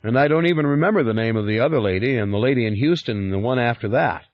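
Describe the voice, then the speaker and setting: A man voicing a worried, deferential male house servant who rambles quickly in a raised voice. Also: mid-range voice, no room sound, lo-fi sound, pitched above the performer's own voice, lightly acted. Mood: anxious, rambling. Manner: fast, rambling, eager.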